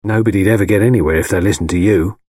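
A man's voice: deep voice, quick pace, darkish tone, no room sound, studio quality. Defensive, brusque young son in a storybook, sardonic, dryly recorded, acted by a male reader. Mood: irritated, sarcastic. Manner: sarcastic, brusque. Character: defensive, impatient young working-class son, sardonic with his mother.

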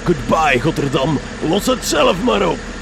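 A man playing a fed-up man fleeing a village in a radio play, shouting shrilly in a hurry. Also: on the high side, very animated, a little slow, gravelly, very noisy, full character voice.

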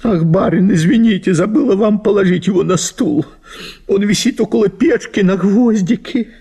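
A man's voice: sits high, moderate pace, mellow, husky. A man voicing an aggrieved, tearful peasant servant woman in a dramatized story, with plaintive folk speech. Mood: apologetic. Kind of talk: dramatized literary short story reading.

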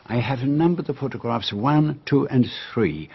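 Shrewd Belgian sleuth, French-tinged accent, husky, deliberate, theatrical; muffled radio drama sound. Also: male voice, medium pitch, expressive. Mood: shrewd, probing. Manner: deliberate, theatrical, precise phrasing. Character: shrewd, grave Belgian male detective.

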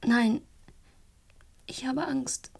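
An actress playing an anxious, lonely, tender grown daughter in a radio drama, soft and intimate with a breathy voice. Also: female voice, high voice, extremely slow, heavily acted.

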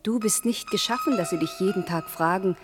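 A woman acting a seductive temptress in a radio play, urging a life of danger and freedom. Her middle-pitched voice is insistent and declamatory, with faint music behind it. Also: lively intonation, brisk, natural voice. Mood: reproachful, coaxing. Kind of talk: theatrical German radio play dialogue.

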